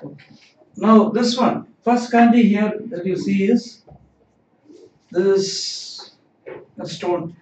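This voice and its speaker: A man's voice: sits high, slow, long pauses, a little mellow, a little hiss or hum. A man teaching kanji in a livestream lesson, explaining patiently and repeating key words. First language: not Japanese. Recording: distant, roomy, dull lo-fi stream audio.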